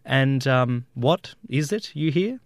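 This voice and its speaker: A male radio presenter introduces an interview segment. His delivery is loose and halting, with small stumbles. He has a mid-range voice and sounds dry and close. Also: medium pitch, lively intonation, no room sound. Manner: loose, halting lead-in with fillers.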